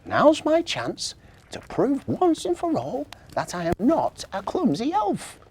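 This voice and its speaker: Guy doing a high breathy girl elf for a kids story, clumsy, weepy, eager, swoops about loads. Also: wildly swooping pitch, slow pace, darkish tone, exaggerated voice. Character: clumsy, tearful, eager young female Christmas elf. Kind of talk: children's storybook character voice.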